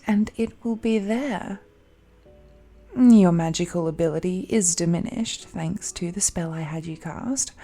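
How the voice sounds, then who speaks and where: A woman playing an ancient, all-powerful, possessive villainess who speaks condescendingly and coaxingly in a romantic audio roleplay, often dropping to a breathy whisper. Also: medium pitch, warm tone. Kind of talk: romantic audio roleplay, intimate monologue to listener.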